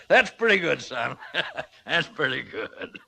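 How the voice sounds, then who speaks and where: A man's voice: a little high, creaky, pushed and bright, exaggerated voice. An actor playing a cackling, mocking man in a 1950s radio drama. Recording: vintage 1950s radio recording.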